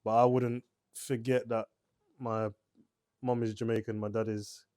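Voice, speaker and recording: A man's voice: medium pitch, little pitch movement, unhurried, darkish tone, clear, resonant tone, no room sound. Man, podcast co-host, casual talk, hesitant, fillers, dry sound. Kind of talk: casual book discussion.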